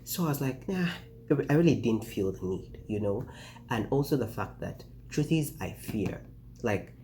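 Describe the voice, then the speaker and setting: Man, early 20s, low mellow voice, rambling casual vlog delivery. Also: a little hiss or hum. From Uganda. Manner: rambling, chatty, frequent fillers and restarts. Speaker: vlogger. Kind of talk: casual talking-head vlog.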